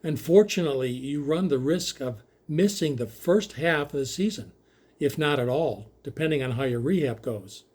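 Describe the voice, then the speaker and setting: A man playing a sympathetic, even-keeled coach who delivers hard news patiently in an audio drama read. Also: fairly high pitch, breathy edge. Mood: sympathetic, regretful. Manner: patient, measured, apologetic.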